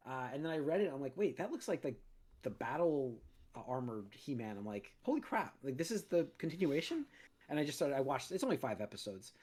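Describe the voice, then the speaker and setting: A man hosting a movie podcast in casual chat, a little raspy as he gets over a cold, rambling with lots of restarts and fillers. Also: mid-range voice, big pitch swings, a little fast, a little mellow. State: recovering from a cold, slightly raspy. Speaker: podcast host.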